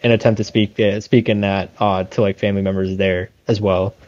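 A young man from India with a lowish voice talks in a loose, rambling way, stacking fillers and correcting himself as he goes. The sound is a little dull and lo-fi. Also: level tone, brisk, a little mellow. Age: 20s. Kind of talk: casual podcast chat.

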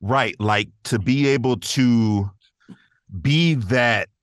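A man's voice: medium pitch, takes it slowly, no room sound, studio quality. A man who is an interview guest and voice actor, chatting casually in an earnest, enthusiastic way with laid-back slangy phrasing. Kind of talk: casual conversation.

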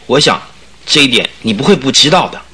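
An actor playing a scheming, indignant young circus performer in a Chinese radio drama, with an urgent, persuasive delivery. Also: male voice, medium pitch, animated, brisk, sharp tone, breathy edge, heavily acted. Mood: probing. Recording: noisy old radio drama recording.

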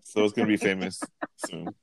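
A man's voice: fairly low pitch, fairly even intonation, a little fast, creaky, no room sound. A man co-hosting a casual podcast chat, joking and laughing often. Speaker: podcast co-host.

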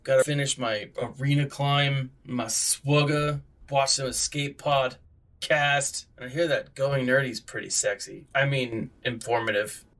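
A man's voice: mid-range voice, fairly even intonation, measured pace, fairly bright tone. A man playing an exasperated, sarcastic sci-fi city policeman in a comedic radio drama, grumbling and impatient.

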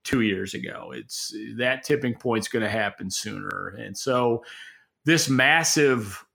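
A man's voice: mid-range voice, takes it slowly, creaky, pushed and bright. A man speaking as a podcast co-host, giving casual, opinionated market commentary in an emphatic way. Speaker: podcast co-host and industry analyst.

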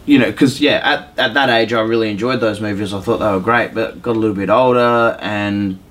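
A man's voice: fairly low pitch, fairly even intonation, brisk, a bit bright. A man chatting casually as a podcast co-host, informal, slangy and opinionated.

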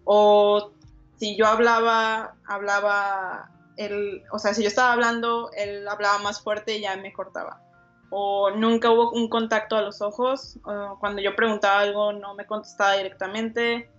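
A woman's voice: medium pitch, little pitch movement, a little slow, a bit bright, soft background music. Young Mexican woman, hesitant, lo-fi. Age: young adult. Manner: hesitant, many fillers and restarts. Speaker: designer, guest. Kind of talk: casual interview answers.

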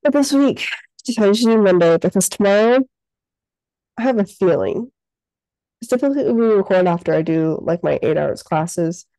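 A woman's voice: mid-range voice, animated, normal speed, mellow, dry recording, poor audio. A young woman with a head cold, chatting casually as a podcast co-host, sounding stuffed up and filling her talk with "like". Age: young adult. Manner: chatty, lots of "like" fillers. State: sick, congested. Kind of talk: casual chat.

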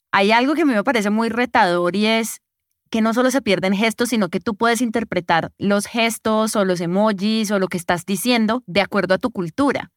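A woman's voice: medium pitch, dry recording, studio quality. Colombian woman hosting a podcast. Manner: friendly, lengthy explanatory questions. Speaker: podcast host. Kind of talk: interview questions and anecdotes. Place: recording in Bogotá.

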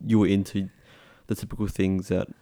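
Male host, lowish voice, chatty and encouraging. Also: level tone, warm tone. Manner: quick encouraging interjections. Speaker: podcast host. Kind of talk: casual interview chat.

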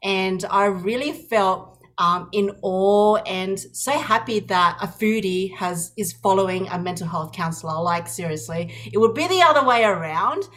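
A woman's voice: medium pitch, measured pace, fairly bright tone. A woman hosting an interview podcast as a counsellor, talking in an effusive, rambling way full of restarts. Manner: effusive, many restarts and fillers. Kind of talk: casual interview.

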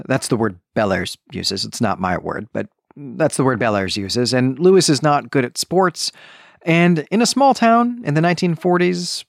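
Animated man hosting a book podcast. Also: mid-range voice, no echo, clean studio sound. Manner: emphatic, checks in with rhetorical "right?". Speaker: podcast host. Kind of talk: conversational book discussion and plot recap.

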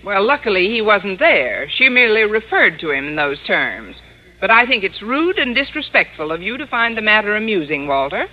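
A woman acting a wry, sardonic schoolteacher in old-time radio comedy, with dry, deadpan wisecracks. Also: mid-range voice, normal speed. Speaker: lead actress and narrator. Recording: muffled, noisy old radio broadcast recording.

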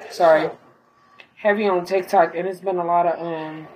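A young woman with a slightly hoarse voice after an illness, rambling casually in a late-night monologue at home, full of fillers. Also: lowish voice, a little hiss or hum, low-quality recording. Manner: rambling, filler-heavy, talks while gaming. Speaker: podcaster.

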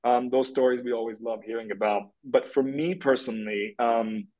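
A man's voice: mid-range voice, fairly even intonation, moderate pace, a little mellow, rough recording. A man from Turkey, a guest in an interview, answering at length with many fillers and restarts. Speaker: guest, tech product executive.

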